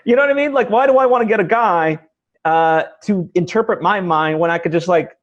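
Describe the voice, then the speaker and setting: A man hosting a casual interview, talking haltingly as he thinks aloud, peppering his speech with filler phrases. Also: sits high, muffled recording. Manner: halting, thinking aloud, heavy use of "like" and "you know". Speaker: interview host, independent filmmaker. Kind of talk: casual chat.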